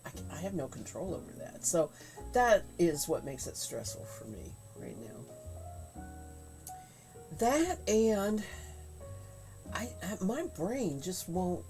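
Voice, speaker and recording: A woman with a head cold chatting casually on a livestream while she spins yarn at home, rambling with many fillers and halting pauses. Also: on the low side, very animated. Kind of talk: casual chat while spinning. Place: home craft room. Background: faint quiet music.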